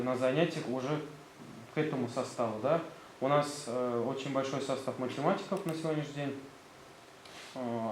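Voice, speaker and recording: A man with a Tatar accent, a school director presenting his gymnasium to visiting officials, hesitant and full of fillers. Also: medium pitch. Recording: roomy sound, faint background noise.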